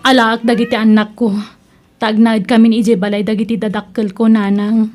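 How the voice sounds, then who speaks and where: A woman's voice: on the high side, little pitch movement, moderate pace, darkish tone, soft background music, close to an everyday voice. A woman playing a troubled young mother and daughter in an Ilocano audio drama, with a Filipino accent. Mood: sad, resolved. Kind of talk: radio drama acting.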